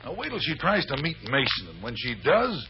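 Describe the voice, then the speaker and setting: A man's voice: a little high, huge pitch swings, fairly quick. An American man acting in an old radio drama as a dogged police sergeant, speaking in clipped tough-cop slang and deferring to his superior. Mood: protesting. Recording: dull, noisy vintage radio recording.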